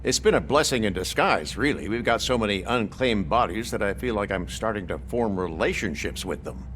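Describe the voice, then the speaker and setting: A man's voice: on the low side, expressive, a little fast, fairly bright tone. A talkative morgue worker in a video game. His mid-range voice moves expressively through dry, morbid chat. There is a faint noise behind him. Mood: wry, chatty. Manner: droll, dryly morbid chattiness. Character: wry, talkative male hospital morgue worker.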